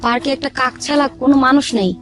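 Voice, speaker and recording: A woman with a Bangladeshi accent and a fairly high voice recounts her own life in an informal way. She slips into quoted dialogue as she tells it, and music plays underneath. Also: fast. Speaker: guest telling her life story. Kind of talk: informal personal storytelling.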